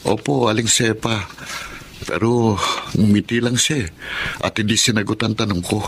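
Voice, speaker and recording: A man's voice: on the low side, steady tone, normal speed, gravelly. An actor playing an earnest, polite twenty-year-old working student and gasoline boy in a Filipino audio drama. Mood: puzzled. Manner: respectful, sincere. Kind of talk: Filipino radio drama acting and first-person narration. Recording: audible background noise.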